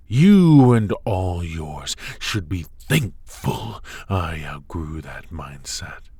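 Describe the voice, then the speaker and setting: Male actor as a fantasy villain warlord, extremely low pitch, very slow and deliberate, menacing, mocking, ASMR roleplay. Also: a bit bright, full character voice. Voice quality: husky, breathy. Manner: slow, deliberate, silky formal taunting with dramatic pauses. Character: dark warlord sorcerer villain, commanding, mocking, coolly menacing.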